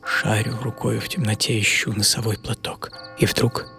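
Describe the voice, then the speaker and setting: A brooding imprisoned adventurer, over music. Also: male voice, on the low side, little pitch movement, normal speed, creaky. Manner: theatrical, intense, swinging from hushed whispers to agitated cries. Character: imprisoned 18th-century adventurer, proud, brooding, philosophical man. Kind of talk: dramatic first-person audio drama monologue. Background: music under the voice.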